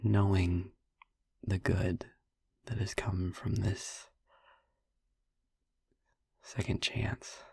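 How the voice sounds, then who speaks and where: A man playing a gentle, wistful vampire boyfriend in an intimate audio roleplay, speaking tenderly and hesitantly in a husky, breathy voice. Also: little pitch movement, no echo, clean studio sound, full character voice. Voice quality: husky, breathy, gravelly low voice. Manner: tender, hesitant, slow with pauses. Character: gentle, wistful male vampire boyfriend, youthful, haunted by past. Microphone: close, dry microphone.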